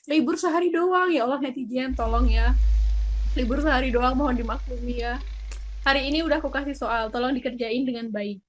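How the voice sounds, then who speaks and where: Female co-host, very high voice, casual, teasing banter. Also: brisk, slight background noise. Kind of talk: casual chat.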